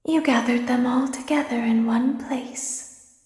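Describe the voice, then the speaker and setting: Woman plays a cruel animatronic girl, whispered threats, unhurried and high-set, echoing space. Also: fairly even intonation, close to an everyday voice. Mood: menacing whisper. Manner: soft menacing whisper. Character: sinister girlish female animatronic, calm and cruel. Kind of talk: fan voice acting of game lines.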